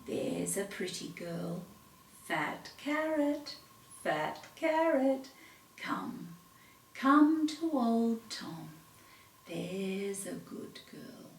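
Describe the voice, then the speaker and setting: A gentle old farmer from a storybook, crooning softly and slowly to coax a cow, put on by a woman reading aloud. The voice sits lower and quieter than the telling around it. It sounds a little distant in an echoey room. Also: very animated, exaggerated voice. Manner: soft crooning.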